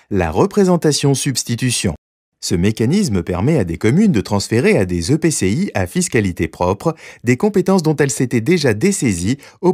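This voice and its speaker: A man reads scripted instructional material in a mellow, dark-toned voice. His delivery is formal and didactic, with lively rises and falls across measured phrases. The sound is dry and close, with no room around it. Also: mid-range voice, no room sound. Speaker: narrator of a training lesson. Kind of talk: scripted explanatory narration.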